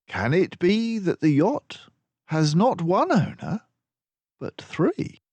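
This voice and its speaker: Storybook mouse companion, played by a male reader: high, lively voice, wordy, prim, faintly peevish. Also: slow, no room sound, heavily acted. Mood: wry, curious. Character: male mouse companion, polite, plain-spoken, slightly peevish sidekick.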